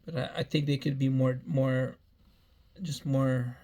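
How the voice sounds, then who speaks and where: A man's voice: mid-range voice, fairly even intonation, unhurried, dark tone. A man in his early thirties giving a meandering personal life update, often repeating himself and restarting phrases. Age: 30s. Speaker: vlogger.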